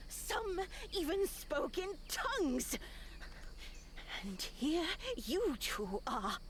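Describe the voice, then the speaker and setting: An actress voicing a stern, cold older nun who commands in a slow, menacing way, in a visual novel. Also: female voice, high-pitched, a little hiss or hum, full character voice. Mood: lecturing.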